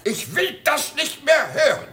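Querulous old emperor, high bright voice. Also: male voice, big pitch swings, unhurried, exaggerated voice. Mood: exasperated. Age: older. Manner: declamatory, exasperated. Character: weary, querulous old emperor of China and doting father. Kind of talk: theatrical German radio play acting.

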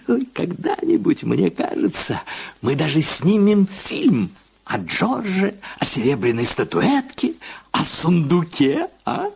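Husky, flippant, coaxing man. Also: a little high, very animated, slow, darkish tone, full character voice. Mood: amused, teasing. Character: flippant, self-assured man coaxing his frightened sweetheart. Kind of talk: Russian audio drama acting.

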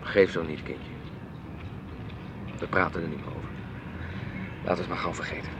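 An actor playing a timid, clinging husband who craves security, speaking hesitantly and placatingly in a radio play. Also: male voice, deep voice, fairly even intonation, normal speed, creaky, heavy background noise. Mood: relieved, patronising. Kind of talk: radio drama acting.

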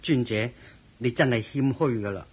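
An actor playing an eager, admiring young lay disciple, deferential and naive, speaking Hong Kong Cantonese in a radio drama. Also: male voice, mid-range voice, normal speed, breathy edge, natural voice.

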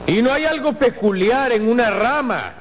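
A creepy possessive villain, high swoopy voice, sly and taunting, radio play. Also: male voice, expressive, normal speed, a little hiss or hum. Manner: theatrical, mock-tender and taunting. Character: menacing, possessive masked male captor, obsessive and sly. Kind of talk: radio drama acting.

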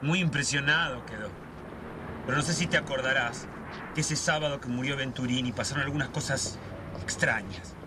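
A man's voice: medium pitch, moderate pace, noisy background, lightly acted. An actor playing an agitated, suspicious man who sees conspiracies in his neighborhood, in a radio drama. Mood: conspiratorial.

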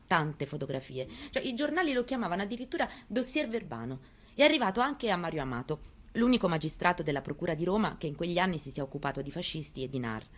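A woman performing a dramatic monologue as a grieving, resolute elderly mother, in a deliberate, intimate manner. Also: sits low. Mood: subdued grief, then bitter, measured. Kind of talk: dramatic monologue, theatrical reading.

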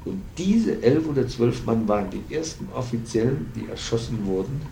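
An older man recollecting in conversation, speaking colloquially in an emphatic, halting way. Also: medium pitch, lively intonation, vocal fry, warm tone. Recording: noisy, distant archive tape.

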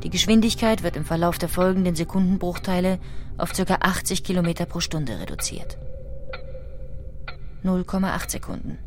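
A woman reading scripted documentary narration in a measured, factual way. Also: fairly low pitch. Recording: music behind the voice. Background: music.

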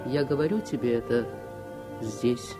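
An actress playing a grown daughter narrating her childhood in a radio play, sincere, measured and heartfelt. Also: extremely low pitch. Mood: solemn, grieving. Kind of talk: dramatic first-person radio narration. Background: music.

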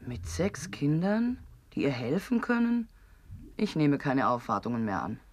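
An actress playing a sly, macabre, teasing woman in a radio play, sardonic, sententious and theatrical, with a husky voice. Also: deep voice, very animated, a little slow, dry recording, exaggerated voice. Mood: macabre, pontificating. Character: sly, macabre, teasing woman who devours her friends. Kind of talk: absurdist German radio play acting.

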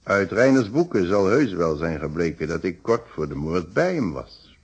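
Deep, husky, composed businessman. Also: sing-song, moderate pace, full character voice. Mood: composed, precise. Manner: polite, precise, self-assured. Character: composed, hospitable, logical married businessman being questioned. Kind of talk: Dutch radio play acting.

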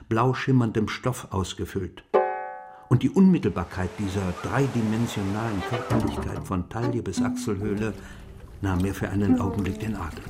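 An actor playing an elderly, wistful gentleman diarist in a literary radio play, speaking in a measured, formal and precise way. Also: on the low side, close to an everyday voice. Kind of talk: literary radio play monologue, reading diary entries.

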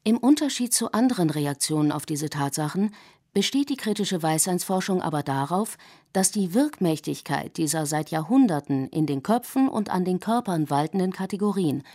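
A woman in her forties, a native English speaker raised in Germany, reading her own radio essay in a measured, reflective way. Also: lowish voice, expressive, dark tone. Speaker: essay author and narrator. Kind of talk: scripted radio essay narration.

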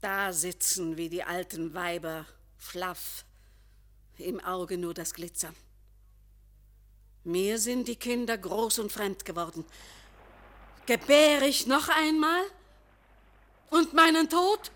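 An actress playing a weary, fearful, brooding lady in a poetic radio play, with a dramatic, halting delivery. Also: medium pitch. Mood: bitter, resigned. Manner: dramatic, halting, drifting into breathy hush. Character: weary, fearful, brooding lady sensing sudden old age.

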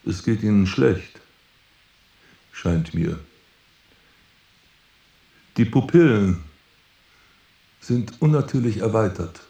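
An actor playing a diabolical master criminal and hypnotist in a radio drama, coldly domineering, with a mocking, commanding delivery. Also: male voice, on the low side, animated, vocal fry, warm tone, heavily acted. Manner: slow, deliberate, mocking and commanding. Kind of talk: German radio drama acting. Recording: music behind the voice.

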